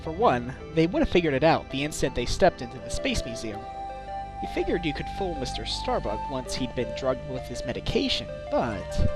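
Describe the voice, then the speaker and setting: A determined male lawyer played by an amateur actor with a mid-pitched, animated voice. He presses his questions firmly and slips in wry asides, over clear game music in a fandub recording. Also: close to an everyday voice. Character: wry, determined male defense attorney, younger adult. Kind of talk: video game fandub acting.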